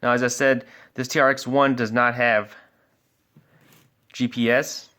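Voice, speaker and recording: A man's voice: mid-range voice, level tone, unhurried, pushed and bright. Man casually walking through a product demo. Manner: informal, step-by-step explaining. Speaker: product demonstrator and scanner sales expert. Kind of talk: casual product review and walkthrough.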